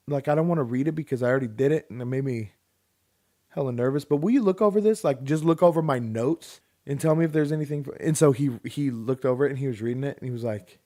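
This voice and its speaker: Rambling man in his thirties. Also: mid-range voice. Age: 30s. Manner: rambling, animated, acts out quoted people. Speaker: podcast co-host. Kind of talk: casual chat, storytelling.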